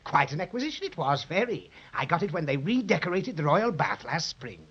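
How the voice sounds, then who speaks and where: Swooping, husky, gushing cartoon crocodile. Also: male voice, fairly high pitch, very animated, brisk, fairly bright tone, heavily acted. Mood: chatty, pleased. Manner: exclamatory, repeats words, gushing. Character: eccentric, effusive male crocodile, lonesome and chatty. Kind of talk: 1930s children's radio serial acting. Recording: dull 1930s radio transcription.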